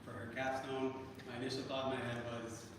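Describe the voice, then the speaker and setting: Guy doing a halting intro speech at an event, really echoey hall, noisy recording. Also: medium pitch, monotone. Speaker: faculty advisor introducing a student. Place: large performance hall. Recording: very echoey hall, distant mic, clear background noise.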